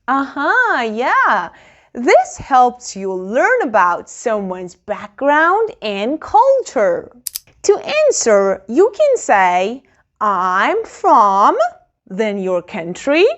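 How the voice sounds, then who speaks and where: A woman teaching a beginner English lesson, modelling phrases very slowly and clearly for learners to repeat. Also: on the high side, huge pitch swings, a bit bright, dull audio.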